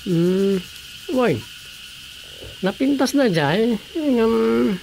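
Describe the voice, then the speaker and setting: An actor playing a skeptical, teasing brother-in-law in an Ilocano radio comedy drama, expressive and questioning, with an Ilocano accent. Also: male voice, very high voice, drawn-out words, creaky, heavily acted. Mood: curious, teasing. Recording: faint noise with night insects.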